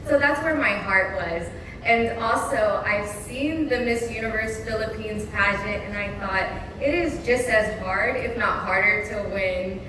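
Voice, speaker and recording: A woman's voice: medium pitch, a little slow. Young woman, candid and poised, echoey hall, background noise. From Texas. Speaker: pageant titleholder. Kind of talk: press conference answers. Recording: echoey hall, distant mic, noisy.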